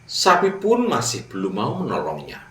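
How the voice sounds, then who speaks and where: A man narrating a fable for children, with dramatic pauses and direct address to young listeners. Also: mid-range voice, wildly swooping pitch, a bit bright. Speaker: children's storyteller. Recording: roomy echo, distant mic.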